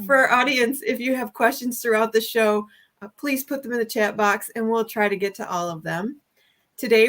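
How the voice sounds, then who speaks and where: A chatty woman hosting a video call. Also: fairly high pitch. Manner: warm, laughs often, filler-heavy. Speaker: host. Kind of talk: casual video call interview.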